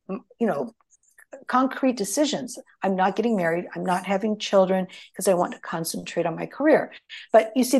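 A middle-aged woman whose first language is Greek, telling stories in a rambling conversational way, full of restarts and fillers. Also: mid-range voice. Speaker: guest. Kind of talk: conversational storytelling.

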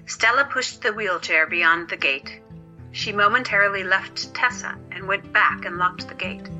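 A woman's voice: mid-range voice, measured pace, bright, forceful tone, muffled recording, faint music behind. A woman narrating a scripted story as the narrator of an audio drama.

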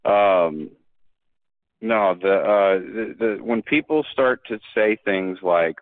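A man's voice: lowish voice, slow pace, no room sound. A man talking as a podcast guest, earnest and emphatic, often restarting his sentences. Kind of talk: casual conversation. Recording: dry phone-like line.

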